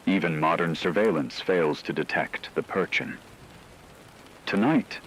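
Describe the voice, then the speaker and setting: Casting: man playing grave radio news host, low voice, measured and ominous horror-drama read, rainy noise underneath. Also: low-quality recording. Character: grave male radio newscaster reporting supernatural terror.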